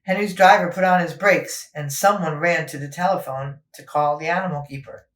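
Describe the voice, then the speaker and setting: A woman's voice: low-pitched, moderate pace. Woman reading a children's story, echoey room. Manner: expressive, explains words to young listeners. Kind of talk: scripted children's story narration.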